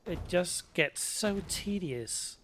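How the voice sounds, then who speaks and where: A man giving casual, unhurried let's play commentary, musing to himself as he plays. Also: sits high, expressive, a bit bright, slight background noise. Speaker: let's play video creator.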